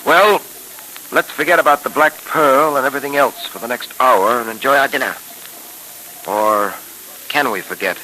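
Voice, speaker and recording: Shrewd, protective detective played by a man, American, authoritative, forceful edge, hissy 1930s radio drama. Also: mid-range voice, normal speed, long pauses, close to an everyday voice, poor audio. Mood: easygoing, firm. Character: shrewd, steady, protective adult male detective hero. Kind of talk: 1930s radio serial acting.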